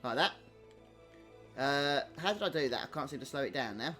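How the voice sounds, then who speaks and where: A man's voice: mid-range voice, expressive, moderate pace. A man giving casual gameplay commentary, thinking aloud in a hesitant way. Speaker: gaming video host. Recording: game music behind voice. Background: loud game music.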